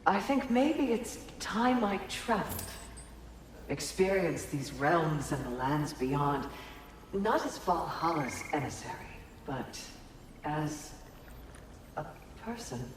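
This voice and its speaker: An actress playing a solemn, gentle and resolute valkyrie in a video game, speaking in measured, earnest phrases. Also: female voice, low-pitched, very animated, full character voice. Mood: reflective, resolved. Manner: measured, deliberate, earnest delivery with pauses. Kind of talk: dramatic video game voice acting. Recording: echoey long reverb, faint background noise.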